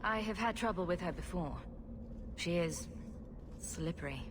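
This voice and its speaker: A young, self-assured fantasy game heroine, acted by a woman. Her lowish voice is dry, wry and direct, heard over noisy ambience. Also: unhurried, close to an everyday voice. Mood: serious, dry. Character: wry, confident young female adventurer hero. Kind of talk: video game acting. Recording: loud ambient noise behind.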